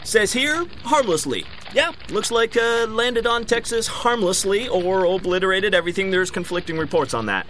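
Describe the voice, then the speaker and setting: An actor playing an evasive, bumbling city mayor in a comedic audio drama, hedging in folksy bureaucratic patter, with background noise. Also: male voice, high voice, expressive, normal speed, hardly any pauses, fairly bright tone, low-quality recording. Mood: breezy, evasive. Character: evasive, bumbling male big-city mayor at press conference.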